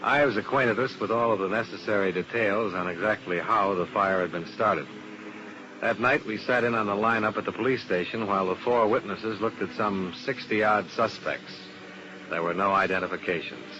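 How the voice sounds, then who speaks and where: A muffled, noisy old radio recording carries an actor playing a low-pitched American male detective. He is cool and wry, with terse, matter-of-fact delivery suited to a 1950s mystery serial. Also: moderate pace, close to an everyday voice. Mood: wry narration. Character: cool, wry male insurance investigator, narrating his case. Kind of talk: 1950s radio detective drama acting and narration.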